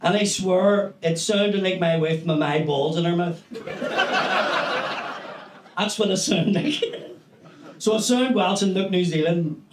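A man in his thirties with a Northern Irish accent, doing a stand-up set in a small club, animated and swearing as he tells a story. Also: high voice, measured pace, pauses often, heavy background noise. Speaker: stand-up comedian. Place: small comedy club stage with brick wall. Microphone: handheld stage mic. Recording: echoey club with loud audience laughter. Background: audience laughter.

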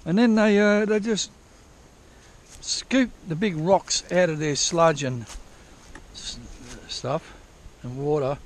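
A man's voice: fairly high pitch, takes it slowly. A man rambles through a walkthrough of old diggings, correcting himself and swearing casually as he goes, recorded outdoors on a head-mounted camera. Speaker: prospecting vlogger. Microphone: head-mounted action camera.

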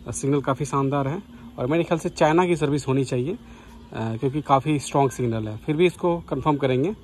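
A man's voice: mid-range voice, a little fast, background noise. A man giving casual vlog commentary as a radio hobbyist.

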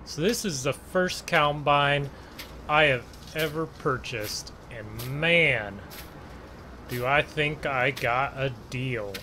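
A man giving casual gameplay commentary in a conversational, unhurried way with trailing pauses. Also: medium pitch, bright, forceful tone, a little hiss or hum. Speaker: gaming video host.

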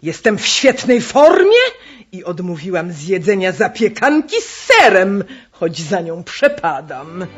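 A stout middle-aged medium in a radio play, loud and common. Her husky voice drawls slowly and swoops about with self-importance. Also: fairly low pitch, big pitch swings, highs cut off, heavily acted. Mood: self-satisfied.

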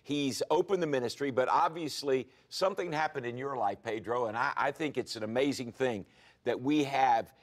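A man's voice: mid-range voice, normal speed. Older man hosting a faith talk show. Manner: earnest, emphatic, preacherly asides to viewers. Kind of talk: faith TV interview. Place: television studio set, indoors.

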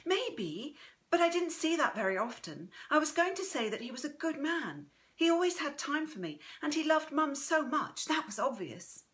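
A curious, earnest granddaughter voiced by a female narrator in a novel reading. The voice is bright and lifted high, gently inquisitive, affectionate and tactful. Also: big pitch swings, normal speed.

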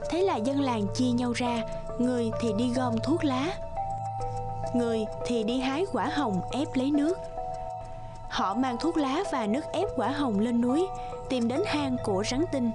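A woman's voice: high voice, normal speed. A woman reading scripted folktale narration as a storyteller. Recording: music bed under narration.

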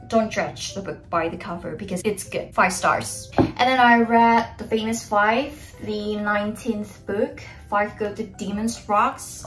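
A woman's voice: mid-range voice, a bit bright, low-quality recording. A young woman in her twenties whose first language is Indonesian, chatting to viewers in an opinionated book review vlog from her bedroom. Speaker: book vlogger. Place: bedroom in front of a bookshelf, indoors.

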